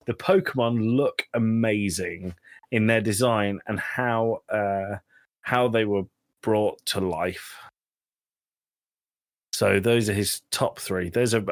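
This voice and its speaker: Low-voiced man in teasing podcast banter. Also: slow pace, pauses often, no room sound. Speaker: podcast host. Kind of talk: casual chat.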